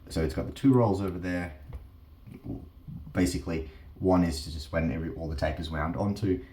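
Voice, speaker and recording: Male host, extremely low pitch, informal demonstrator delivery, roomy echo. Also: a little fast, warm tone, a little hiss or hum. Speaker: host of a teardown channel. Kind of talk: casual hands-on explainer. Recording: roomy, echoing sound.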